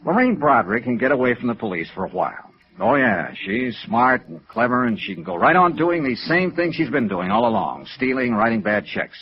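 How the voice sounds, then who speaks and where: Animated, world-weary American radio detective. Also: male voice, mid-range voice, a little fast. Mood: persuasive. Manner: clipped, matter-of-fact, pressing. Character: world-weary, persistent male insurance investigator narrating his case. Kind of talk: 1950s radio noir acting and narration. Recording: dull vintage radio recording.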